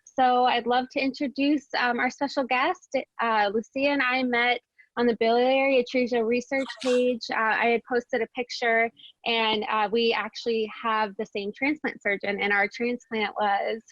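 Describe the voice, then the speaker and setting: A woman's voice: fairly high pitch, steady tone, normal speed, fairly bright tone, no echo. A woman co-hosting a casual chat from San Francisco, talking with many fillers and laughing often. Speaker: podcast co-host. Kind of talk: casual video-call chat. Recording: dry, dull lo-fi call audio.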